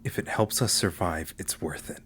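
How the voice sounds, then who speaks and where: Man playing a deep, serious spaceship acting captain. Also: fairly bright tone, slight background noise. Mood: persuading. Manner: serious, firm, measured. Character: pragmatic, commanding acting captain of a stranded spaceship. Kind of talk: video game fan dub acting.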